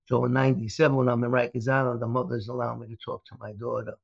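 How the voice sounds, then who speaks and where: A man's voice: medium pitch, flat delivery, very fast, creaky, breathy edge, no echo. An older man from Brooklyn, recounting his past in a rambling way as an interview guest. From Brooklyn, New York. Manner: rambling, many uh fillers and restarts. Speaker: guest, former mobster turned speaker. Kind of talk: storytelling interview. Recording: dull, dry lo-fi audio.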